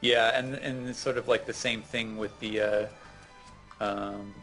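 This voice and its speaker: A man's voice: on the low side, fairly even intonation, unhurried, a bit bright, soft background music, poor audio. A man in casual gaming chat, explaining things as a co-host in a hesitant way, circling back over his phrases. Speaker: gaming co-host giving tips.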